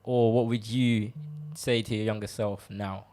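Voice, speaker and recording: A young man co-hosting a podcast, asking relaxed questions and murmuring agreement often. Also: fairly low pitch, unhurried. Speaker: podcast co-host. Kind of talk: casual podcast interview.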